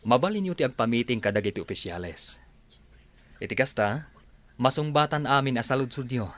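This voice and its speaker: An actor playing a respectful attorney and chief of staff, deferential and Ilocano-accented, in a radio drama. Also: male voice, mid-range voice, expressive, fairly quick, a bit bright, lightly acted. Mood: respectful, earnest. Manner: polite, deferential.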